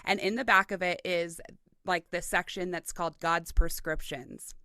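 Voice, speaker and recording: A woman's voice: fairly low pitch, steady tone, normal speed, bright, forceful tone, smooth, dry recording. A woman hosting a podcast, chatting in an enthusiastic, affirming way. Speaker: podcast host. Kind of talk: casual interview conversation.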